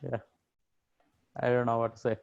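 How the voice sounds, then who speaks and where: Man, lecturer, casual chat, video call, middle pitch, dull muffled audio. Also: monotone, normal speed, creaky, a little mellow. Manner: easygoing, chuckles often, repeats "yeah". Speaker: university lecturer. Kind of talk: casual chat with students before an online lecture.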